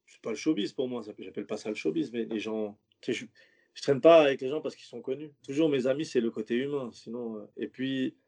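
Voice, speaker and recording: A man's voice: medium pitch, fairly quick, vocal fry, a little mellow. A man who is a stand-up comedian, talking loosely in a casual interview, slangy and rambling with many restarts. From French suburbs.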